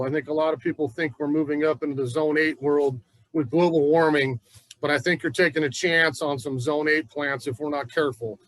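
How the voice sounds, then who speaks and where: A man's voice: on the high side, rough recording. A man from the Pacific Northwest giving a chatty, folksy gardening class at a plant nursery. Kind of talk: casual gardening class talk.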